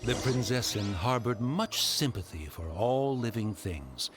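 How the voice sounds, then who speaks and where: A man's voice: on the low side, expressive, measured pace, soft background music. A man playing a solemn storybook narrator of a fantasy legend in a video game, formal and theatrical. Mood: solemn, grand.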